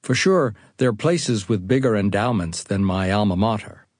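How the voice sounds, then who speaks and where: An American man reads a first-person account as audiobook narration. His voice sits on the low side. The sound is dry, with almost no room around it. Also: expressive, normal speed, no echo. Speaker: author reading own audiobook.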